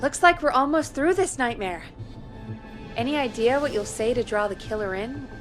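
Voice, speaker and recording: A woman's voice: a little high, expressive, moderate pace, music in the background, close to an everyday voice. A woman voicing a perky, gossipy radio show producer in a video game, chatty and enthusiastic. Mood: eager, doubtful. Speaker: radio producer putting calls through.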